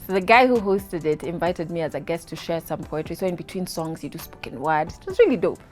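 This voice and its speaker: A Kenyan woman in her thirties tells her story in a casual interview, her voice lively and quick to laugh. She drops Swahili words into her English, with faint music playing behind her. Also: lowish voice. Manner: animated, laughing, mixes in Swahili. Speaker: guest.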